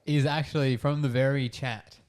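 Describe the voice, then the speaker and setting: A young man co-hosting a podcast, throwing in quick one-liner jokes during casual chat. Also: mid-range voice, fairly even intonation, darkish tone. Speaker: podcast co-host.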